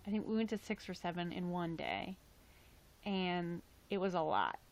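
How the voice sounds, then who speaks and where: A young woman live streaming, chatting casually in a raspy, tired voice, rambling and swearing now and then. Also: fairly low pitch, normal speed.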